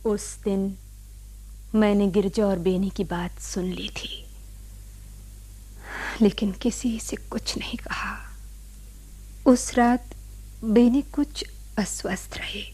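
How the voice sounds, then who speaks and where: Slow, breathy, devoted wife. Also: female voice, mid-range voice, steady tone. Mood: subdued, wistful. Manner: hushed, breathy, reflective. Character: devoted, worried wife quietly recalling past events. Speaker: radio drama actor. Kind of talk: dramatic first-person narration in a radio play.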